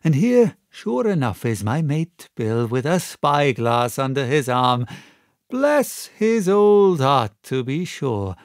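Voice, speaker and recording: A sly pirate whose talk sways between fawning and sneering, his wheedling lines climbing higher and louder than a plain voice, as a male reader puts him on. He is a middle-aged sailor with a maimed hand, forever playing the old friend. The read is close and dry. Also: sing-song, slow pace, no room sound.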